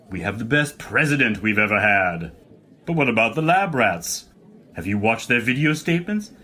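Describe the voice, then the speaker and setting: An actor playing a bombastic demagogue president, giving a pompous, repetitive, emphatic rally speech in an audio drama. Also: male voice, a little high, fairly bright tone, full character voice.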